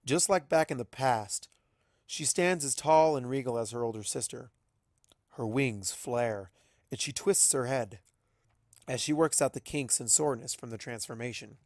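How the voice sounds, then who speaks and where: A man's voice: medium pitch, normal speed, darkish tone, no room sound, studio quality. A man reading a scripted story as an amateur narrator, in a dramatic storytelling style. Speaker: amateur fan fiction reader.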